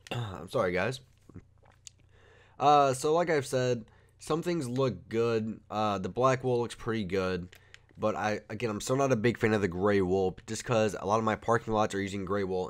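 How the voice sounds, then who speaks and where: A young man with a cold, giving casual, rambling commentary while reviewing a game texture pack at home. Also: lowish voice. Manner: rambling, filler-heavy, easygoing. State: has a cold.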